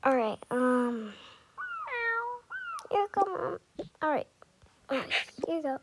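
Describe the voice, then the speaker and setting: Over clear background noise, a woman plays a busy mother in a pretend-play game story. Her voice is high and her pace is unhurried. She murmurs hesitantly, as if thinking aloud while organising the family. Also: expressive. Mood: coaxing.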